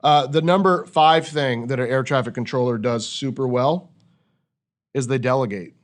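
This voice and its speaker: Male podcast host, medium pitch, conversational coach who teaches through rhetorical questions. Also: moderate pace. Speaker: podcast host leading a discussion.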